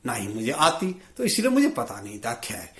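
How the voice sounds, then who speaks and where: Humble village cart driver, lively male storyteller's voice. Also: mid-range voice, moderate pace. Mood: homely, helpful. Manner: homely, polite, plain-spoken. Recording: roomy, echoey sound.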